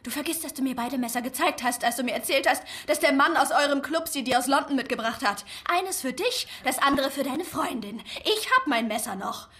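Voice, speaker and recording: An actress playing a drunk, defiant young wife in a radio crime drama, mocking and slurring. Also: female voice, high voice, expressive, brisk, few pauses, fairly bright tone. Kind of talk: radio play acting.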